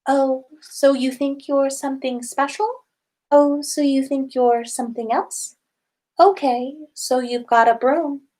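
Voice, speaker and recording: A woman's voice: high voice, fairly even intonation, takes it slowly, mellow, voice pushed up. A woman voicing a dreamy teenage witch girl who teases as she recites a song in a story reading. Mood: dreamy teasing. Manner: dreamy, teasing.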